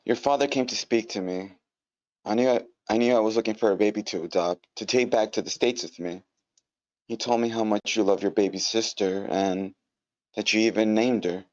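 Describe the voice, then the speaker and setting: A woman's voice: rumbling low, moderate pace, a little mellow. A woman playing a caring, reassuring teacher in an amateur screenplay table read. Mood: tender, reassuring. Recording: dull lo-fi online meeting audio.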